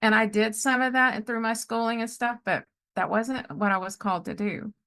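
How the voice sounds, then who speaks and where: A woman's voice: a little high, fairly even intonation, a little fast, bright, forceful tone. A woman in her sixties hosting a casual interview, talking in an encouraging, affirming way. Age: 60s. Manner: encouraging, responds often with affirmations. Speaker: podcast host, life coach.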